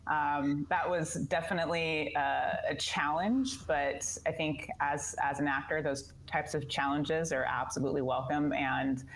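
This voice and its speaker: A woman's voice: sits low, steady tone, a little slow, hardly any pauses, slight background noise, poor audio. A woman answering interview questions casually, enthusiastic but hesitant, as a voice director and actor.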